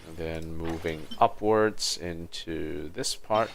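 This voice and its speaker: A man's voice: deep voice, slow, gravelly, a little hiss or hum. A man giving casual livestream commentary as he plays a video game, half muttering to himself.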